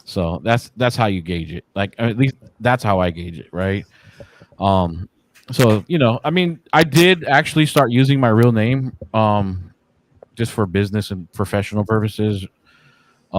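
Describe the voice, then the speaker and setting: A man's voice: fairly low pitch, moderate pace, dry recording. A man in his forties, a podcast guest talking casually in a laid-back, slangy, profane way with lots of fillers. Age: 40s. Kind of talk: casual chat.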